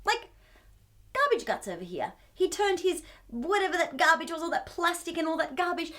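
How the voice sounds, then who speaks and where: A woman hosting a children's storytime, reading a picture book in an animated way and putting questions to her young listeners with exaggerated wonder. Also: extremely high pitch, normal speed.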